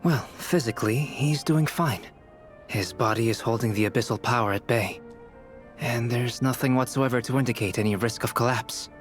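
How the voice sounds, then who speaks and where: An actor playing a calm, analytical young male alchemist in a video game, measured and explanatory. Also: medium pitch, faint music behind, close to an everyday voice. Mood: composed, explanatory.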